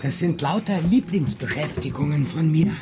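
A man's voice: a little high, animated, moderate pace, over music, natural voice. A man playing a playful father in a radio play, spinning absurd stories in a whimsical, improvising manner. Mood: dry, teasing.

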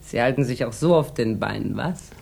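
An actress playing a jaded, hard-drinking, sharp-tongued woman in a 1960s German radio crime drama, with an ironic, languid manner. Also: extremely low pitch, lively intonation, normal speed, gravelly, husky, heavily acted. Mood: amused, guarded.

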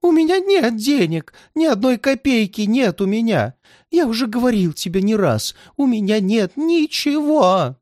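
A man's voice: very animated, unhurried, darkish tone, no echo, clean studio sound, exaggerated voice. A man doing a wailing, terrified old man. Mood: pleading. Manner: wailing, high pleading cries. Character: frightened pleading old man, terrified and paranoid.

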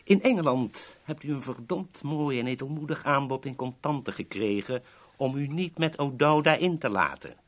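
An actor in a 1970s radio play voicing a polite, formal boss who is coolly threatening. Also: male voice, medium pitch, expressive, moderate pace, close to an everyday voice. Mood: firm, threatening. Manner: formal, courteous, smoothly threatening.

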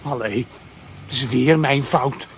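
A man's voice: medium pitch, slow, a little hiss or hum. An actor playing a scrappy, proud male street dog in a radio play, with a streetwise grumble. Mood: grumbling.

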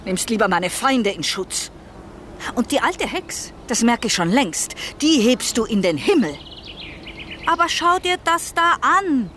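An aggrieved, suspicious wife in a radio play. Her rather high voice swings widely as she accuses and insists in an Austrian accent, with some noise behind her. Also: very animated, a little slow, fairly bright tone. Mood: indignant, accusing. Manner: accusing, indignant, emphatic. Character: suspicious, aggrieved, obstinate wife obsessed with intruders. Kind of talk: radio drama acting.

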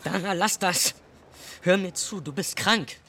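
A man's voice: sits high, measured pace, noisy background. A man playing a cold, exasperated young ex-lover in a radio drama, with a curt and defensive delivery.

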